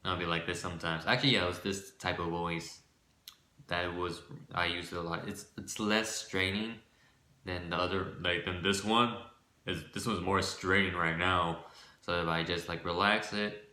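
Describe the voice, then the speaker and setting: A shy young man rambling casually about his light voice, with many fillers, sometimes pushing it deeper. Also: fairly even intonation, moderate pace, sharp tone. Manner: shy, rambling, full of fillers and self-corrections; shifts between a light voice and a forced deeper one. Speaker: vlogger. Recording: echoey room.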